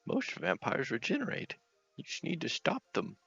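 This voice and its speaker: A man's voice: fairly high pitch, huge pitch swings, normal speed, gravelly, a bit bright, husky, no room sound, muffled recording, soft background music, pitched above the performer's own voice, full character voice. A man voicing a boozy, rambling old vampire-hunting doctor from a video game, with a slurred tipsy drawl. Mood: earnest warning.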